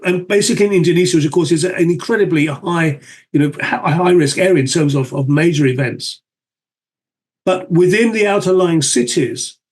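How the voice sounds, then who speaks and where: A man's voice: on the high side, a little mellow. A man moderating a panel discussion, enthusiastic and fluent, repeating words for emphasis.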